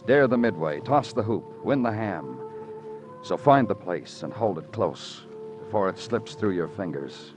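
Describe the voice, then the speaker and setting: An actor playing a terse, brooding male homicide detective in 1950s radio noir style. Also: low-pitched. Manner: clipped in dialogue, florid and lyrical in narration.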